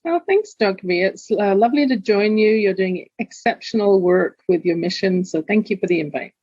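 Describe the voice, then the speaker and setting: A woman's voice: mid-range voice, normal speed, darkish tone, husky, dry recording, muffled recording. An older woman with a London accent, a podcast guest telling her life story in an earnest, reflective way. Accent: London English. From England. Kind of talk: candid personal storytelling.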